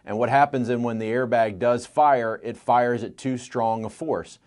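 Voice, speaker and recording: A man's voice: mid-range voice, fairly even intonation, moderate pace, darkish tone. A man with an American accent speaking as a lawyer in a radio interview, explaining and arguing earnestly and repeating phrases for emphasis. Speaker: plaintiffs' lawyer, interview guest.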